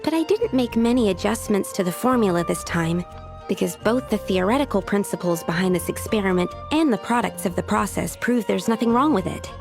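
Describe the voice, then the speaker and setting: A studious young female alchemist with a medium-pitched voice edged with creak. She explains things precisely and earnestly, with a touch of modest hesitation, as in a video game. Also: expressive, fairly quick, over music. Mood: earnest, explanatory. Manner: methodical, explanatory, slightly hesitant.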